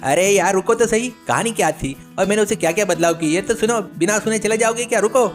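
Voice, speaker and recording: A man's voice: sits high, fast talker, faint music behind, pitched above the performer's own voice, natural voice. A man voicing a boastful, idle village chatterbox who pushes his tall tales insistently in a children's story. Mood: insistent, excited. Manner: bragging, insistent, wheedling.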